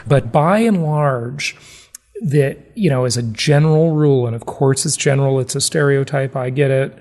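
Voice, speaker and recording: A man's voice: mid-range voice, moderate pace, a little mellow. A man hosting a podcast in a casual, conversational way, rambling a little and repeating phrases for emphasis. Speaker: podcast host. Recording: reverberant hall-like echo.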